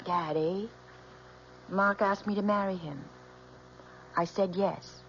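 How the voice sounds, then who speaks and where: A woman's voice: mid-range voice, steady tone, slow pace. An American woman, a young adult, playing a devoted, earnest daughter with a pleading manner in an old-time radio drama. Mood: earnest, insistent. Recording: muffled, noisy old radio recording.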